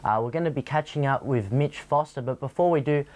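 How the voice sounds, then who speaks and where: A man's voice: mid-range voice, a little fast, a little mellow. A young Australian man working as a sports reporter, conducting a local TV interview.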